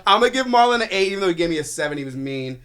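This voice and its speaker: A man's voice: sits high, sing-song, rapid-fire, a bit bright, clear, resonant tone. A man co-hosting a podcast in casual, playful, slangy chat. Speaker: podcast co-host.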